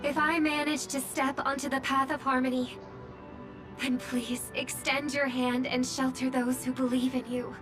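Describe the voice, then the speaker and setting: An actress plays a young, idealistic female singer with a high voice. She speaks solemnly and poetically, like a devout orator in a game cutscene. Music plays clearly behind her. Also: level tone, moderate pace, lightly acted. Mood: solemn, earnest. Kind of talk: dramatic game voice acting.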